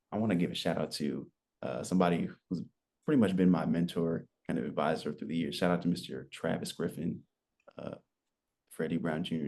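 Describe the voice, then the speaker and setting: A man in his twenties telling his story as a podcast guest, relaxed and rambling, with lots of fillers. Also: deep voice, vocal fry. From United States. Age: 20s. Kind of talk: casual interview chat.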